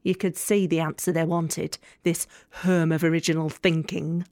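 An actress playing a young student, guarded, sardonic and candid, in an intimate monologue for an audio play. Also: female voice, low-pitched, flat delivery, normal speed, a little mellow, dry recording, clean studio sound. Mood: wry, confessional. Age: young adult. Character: guarded, sharp-tongued young female student, hurt but hopeful.

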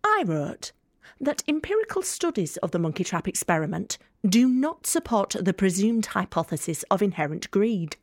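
A young woman's mid-pitched voice in a dry, close recording, an actress as a guarded student in an audio play. She confides in an intimate monologue, sardonic and frank, with a sharp tongue over a bruised, hopeful core. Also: dry recording, close to an everyday voice. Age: young adult. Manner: sardonic, sweary, candid.

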